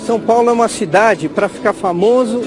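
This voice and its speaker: A man's voice: sits high, a little fast. A Brazilian man giving reflective interview commentary with guitar music behind him. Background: loud guitar music.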